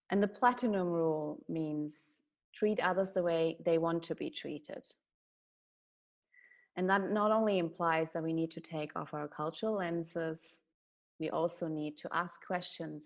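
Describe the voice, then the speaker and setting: A woman from Germany giving an earnest, explanatory talk on bias and inclusion. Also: low-pitched. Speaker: speaker on diversity and inclusion.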